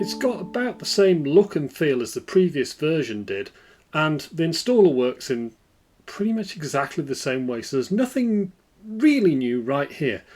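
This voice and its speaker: A man hosting a screen-recorded software walkthrough, explaining in a relaxed way. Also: on the high side, husky. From United Kingdom. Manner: relaxed explanatory, lively intonation. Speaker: tech video host.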